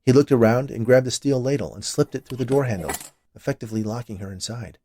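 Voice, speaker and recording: A man's voice: mid-range voice, fast, dark tone, a little hiss or hum. A man reading a dramatic storybook tale as its narrator. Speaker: author reading own story.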